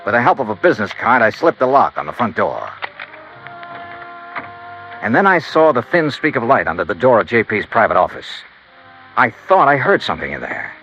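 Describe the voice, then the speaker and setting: A man's voice: mid-range voice, big pitch swings, normal speed, long pauses, sharp tone. An American man playing a hard-boiled, wry insurance investigator and narrator in a 1950s radio detective drama. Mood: tense narration. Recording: dull vintage radio recording with music.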